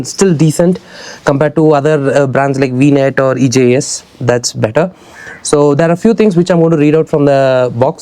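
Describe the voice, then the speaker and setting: A man's voice: fairly high pitch, warm tone, no room sound. A man with an Indian accent giving a casual, conversational product review, addressing his viewers directly as a vlogger. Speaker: motorcycle gear reviewer and vlogger.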